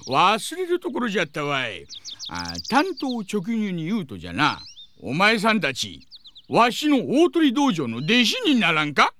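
A man's voice: high-pitched, wildly swooping pitch, normal speed, bright, forceful tone, slight background noise, exaggerated voice. A male actor playing a blustering, comical old martial-arts master in an audio drama, using archaic old-man speech. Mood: pompous, eager.

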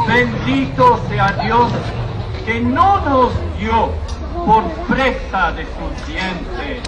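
A man delivers a public prayer as a preacher, in a declamatory manner built on repeated refrains. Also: very high voice. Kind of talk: public prayer speech to a crowd. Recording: noisy outdoor archive recording with crowd.

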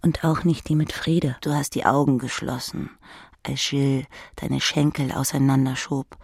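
Gravelly, husky literary narrator. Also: mid-range voice, little pitch movement, normal speed, darkish tone, no echo, studio quality. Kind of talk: literary second-person narration.

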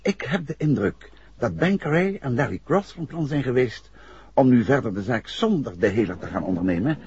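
An actor playing a composed, courteous private detective in a 1960s Dutch radio drama, with measured phrasing. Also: male voice, mid-range voice, animated, darkish tone, slight background noise, close to an everyday voice. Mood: measured, deliberate. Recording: dull, muffled vintage radio drama recording.

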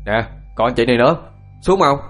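A man's voice: mid-range voice, lively intonation, fairly quick, a bit bright, soft background music. A man voicing a surly, aggressive bus assistant who snaps and roars in an audio story. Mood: angry. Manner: roaring, snapping. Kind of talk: audio ghost story acting.

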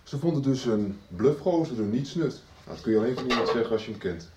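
A man's voice: mid-range voice, expressive, fairly quick, darkish tone, close to an everyday voice. A man playing a laid-back, suspicious character in a radio soap, casual and wry. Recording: roomy radio recording, faint noise.

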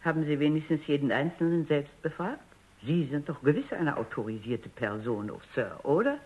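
Stern female psychologist, incisive, blunt, rough husky voice, radio drama, narrow tinny recording. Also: low-pitched, lively intonation, normal speed. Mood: insistent questioning. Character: stern, sharp-minded female robot psychologist. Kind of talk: German radio drama acting. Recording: thin phone-like radio recording.

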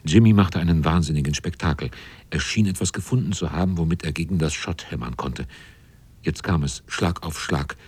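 Casting: male radio drama narrator, rock-bottom register, raspy, dry measured delivery. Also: a little mellow, no room sound. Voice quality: very deep, husky.

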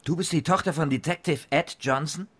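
Raspy, brusque police sergeant. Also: male voice, on the high side, brisk, fairly bright tone. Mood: weary, questioning. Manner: commanding, exasperated. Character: brusque, sardonic male police sergeant in charge. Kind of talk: radio crime drama acting.

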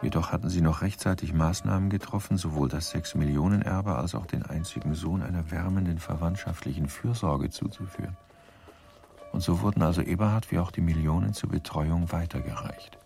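A man narrating a scripted radio drama in a dry, deadpan manner. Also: deep voice, brisk, creaky, faint music behind.

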